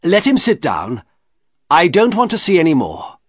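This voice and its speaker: A man voicing a courteous, uneasy gentleman doctor in storybook dialogue, reserved and a little hesitant. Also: fairly high pitch, wildly swooping pitch, moderate pace, pitched above the performer's own voice. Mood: uneasy, firm. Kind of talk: audiobook character dialogue.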